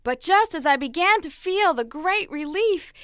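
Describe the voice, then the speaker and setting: A woman's voice: very high voice, expressive, normal speed, fairly bright tone, no room sound, clean studio sound, voice pushed up. A woman voicing a fragile, dramatic talking teacup that pleads breathlessly as it tells its story.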